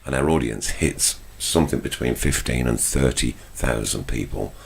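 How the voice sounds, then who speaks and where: A very low, gravelly male voice, chatty and quick to laugh, hosting a relaxed football chat from a small home studio. A faint hiss of background noise sits under the recording. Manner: chatty, laughs easily, reads listener questions. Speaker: podcast host. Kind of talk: casual chat.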